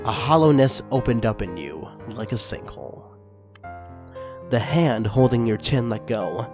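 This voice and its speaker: A man reads a story aloud at an even, expressive pace. His voice sits in the middle range, neither high nor low. Faint music plays behind his narration. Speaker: narrator reading fan fiction.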